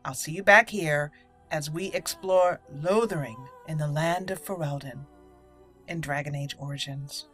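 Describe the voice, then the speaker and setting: A woman's voice: low-pitched, a little slow, a bit bright. A woman hosting a roleplay video game playthrough, chatting with playful asides and reading dialogue choices aloud over game music. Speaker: gaming video host.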